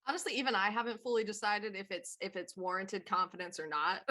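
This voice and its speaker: Bright, forceful woman chatting. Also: medium pitch, steady tone, brisk. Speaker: voice actor discussing own show. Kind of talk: casual chat.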